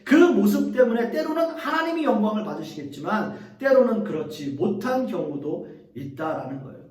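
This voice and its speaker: A man's voice: high-pitched, darkish tone, echoey room, far from the mic. A middle-aged male pastor preaching a sermon earnestly, speaking directly to the congregation and repeating key phrases.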